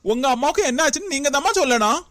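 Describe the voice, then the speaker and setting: A woman voicing a brisk, impatient doctor who orders the family out of the room. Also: high-pitched, lively intonation, fairly bright tone, no echo, studio quality.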